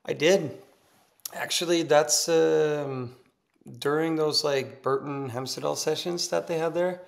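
A man in his thirties with a Norwegian accent, chatting casually as a podcast guest over a video call from his kitchen, relaxed and laughing easily. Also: fairly high pitch, a little slow, gravelly. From Norway. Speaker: guest, pro snowboarder. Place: home kitchen, indoors.

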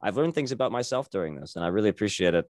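Low-voiced man in his thirties hosting. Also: animated, racing, dry recording, studio quality. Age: 30s. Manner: earnest, rambling with restarts. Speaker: podcast host. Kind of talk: casual interview chat. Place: small home studio with foam panels. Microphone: large studio mic on a stand, headphones.

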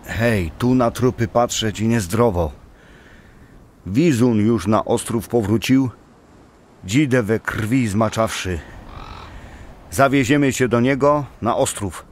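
An actor playing a bold, hearty, stubborn Slavic warrior in a dramatic audio play, with a declamatory manner. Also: male voice, on the low side, takes it slowly, long pauses. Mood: grave, resolute.

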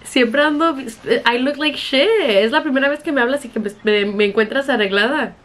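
High-pitched woman in casual chat. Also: brisk, a bit bright. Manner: joking, informal. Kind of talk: casual phone chat mixing Spanish and English. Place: indoor office.

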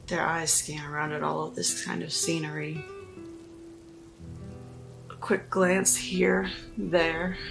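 Female tabletop player, lowish gravelly voice, thoughtful improvised narration. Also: rough recording. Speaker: player in a role-playing podcast. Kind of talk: improvised character description in a tabletop game.

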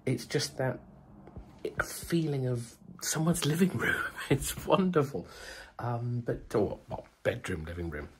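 A man with a cold, talking quietly in a hotel room in a casual vlog update, with many ums and hesitations. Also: medium pitch, lively intonation, slow pace, a little hiss or hum. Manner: speaks softly, hushed, many ums. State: bunged up with a cold. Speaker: vlogger.

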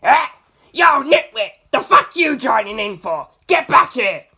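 Audiobook role: hot-tempered young man voiced by the narrator, raised pitch, loud, scolding and exasperated. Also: very animated, measured pace, vocal fry, slightly rough, heavily acted. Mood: exasperated yelling. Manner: loud, high, yelling. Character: exasperated, foul-mouthed young man scolding his unruly spirit.

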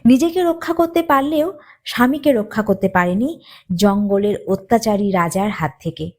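A woman narrating a children's moral story as a storyteller, with deliberate, dramatic phrasing. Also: medium pitch, darkish tone.

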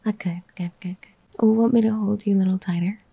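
A woman playing a possessive, praising mommy girlfriend in a husky, breathy ASMR whisper. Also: medium pitch, fairly even intonation, brisk, no echo. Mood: affectionate, possessive. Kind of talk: soft-spoken ASMR roleplay. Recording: dull, muffled close recording.

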